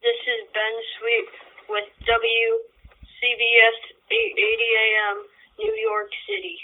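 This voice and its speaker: A young man playing a radio news broadcaster, reading a bulletin in a halting, informal live delivery. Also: very high voice, big pitch swings, full character voice. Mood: newsy, hurried. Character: male New York AM radio news broadcaster. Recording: noisy, tinny phone-like radio.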